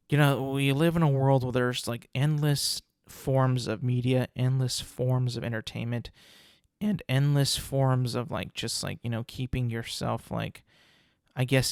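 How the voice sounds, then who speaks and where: A man's voice: medium pitch, no echo, clean studio sound. A young man hosting a solo podcast, congested and sniffling, rambling casually with many fillers and restarts. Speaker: podcast host.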